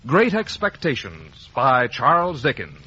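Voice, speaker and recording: A man announcing in emphatic, showy 1950s radio style with a husky voice. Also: mid-range voice, huge pitch swings, a little slow. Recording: dull vintage radio broadcast.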